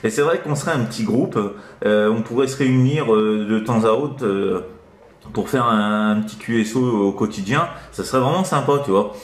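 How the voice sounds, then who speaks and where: A man's voice: medium pitch, normal speed. A man chats casually as a CB radio operator, hesitant and rambling with frequent tag words. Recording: roomy echo around the voice.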